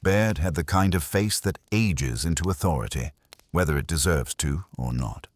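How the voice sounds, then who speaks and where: A man's voice: very deep voice, moderate pace. A man reading first-person detective fiction as narrator, with a wry, hardboiled manner.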